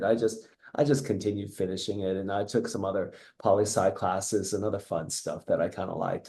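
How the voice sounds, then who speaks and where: Guest, middle-aged US man, mellow, reflective and rambling, echoey room. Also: lowish voice. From United States (Seattle; born in Taiwan). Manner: reflective, rambling with restarts and soft laughs. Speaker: guest, entrepreneur. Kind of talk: casual interview.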